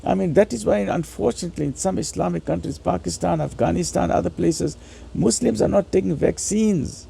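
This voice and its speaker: A man giving an informal religious lecture in a husky, gravelly voice, teaching emphatically and conversationally with repeated phrases. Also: high voice, fairly quick, mellow, slight background noise.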